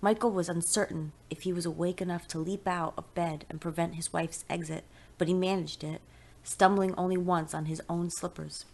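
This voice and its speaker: Female audiobook-style narrator, lowish pitch, scripted fiction reading. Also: fairly even intonation, normal speed, vocal fry, darkish tone. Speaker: story narrator.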